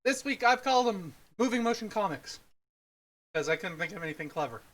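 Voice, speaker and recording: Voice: medium pitch, expressive, fairly quick, fairly bright tone. A podcast host chatting casually about films on a livestream, in a teasing, bossy way.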